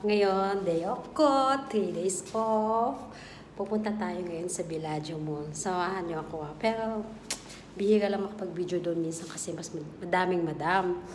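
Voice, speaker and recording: A woman's voice: fairly low pitch, moderate pace, a little mellow, a little hiss or hum. A young woman with a Filipino accent vlogging on her phone, giggly and teasing, mixing languages. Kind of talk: casual vlog chat. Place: indoor home with stairs, later a mall. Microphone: phone at arm's length.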